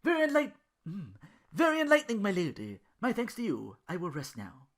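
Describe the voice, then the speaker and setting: A woman's voice: medium pitch, wildly swooping pitch, fairly quick, voice pushed up. A woman voicing a whiny, childish male servant who pleads timidly with his lady, in a story read aloud. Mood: pleading.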